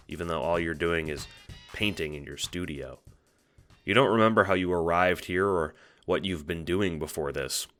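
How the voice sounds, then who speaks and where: A man narrating an audio drama in a scripted, suspenseful style, halting with dramatic pauses. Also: deep voice, a bit bright.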